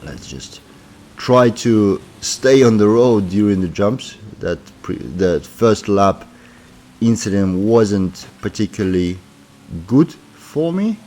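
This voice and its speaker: A man's voice: lowish voice, lively intonation, slow, a little mellow. A man with a sore throat from a cold, giving casual commentary on his racing game as he plays, thinking aloud with many fillers. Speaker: gaming commentator.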